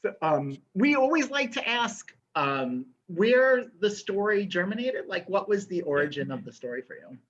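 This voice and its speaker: A woman bookseller hosting an author event with lively, laughing asides over a video call. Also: mid-range voice, normal speed, few pauses, dull audio. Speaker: bookseller host. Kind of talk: casual event conversation.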